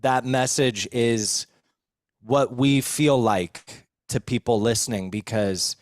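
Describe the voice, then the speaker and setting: A man's voice: medium pitch, slow pace, dry recording, clean studio sound. A young American man talking earnestly and informally as a guest in a casual podcast conversation. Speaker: podcast guest, recovery video producer.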